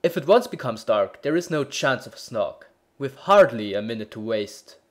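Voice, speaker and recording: A man doing a loud, impatient bellman. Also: medium pitch, sing-song, moderate pace, close to an everyday voice. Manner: loud and hasty, exclaiming. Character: impatient bossy male ship's bellman.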